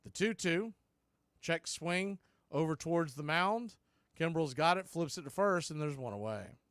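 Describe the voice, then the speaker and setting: A man hosting a baseball broadcast, giving play-by-play commentary in clipped phrases with deliberate pauses. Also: fairly high pitch, animated, a bit bright, smooth, dry recording, clean studio sound. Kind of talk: live play-by-play commentary mixed with history recap.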